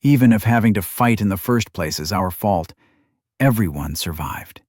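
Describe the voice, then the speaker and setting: A male narrator voicing a young healer worn down by guilt, defensive and tired when pushed. The voice is low and dry, read close to the mic. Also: lively intonation, normal speed, a little mellow, no room sound. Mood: frustrated.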